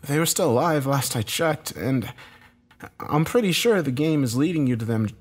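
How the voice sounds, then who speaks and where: A desperate, embittered man from an audio thriller, acted out by a male narrator. He sounds agitated and urgent, swearing freely. The voice is raised high, swings widely and has a husky edge. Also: animated, normal speed. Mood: explaining, frustrated. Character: Josh, desperate bitter man, cornered game loser.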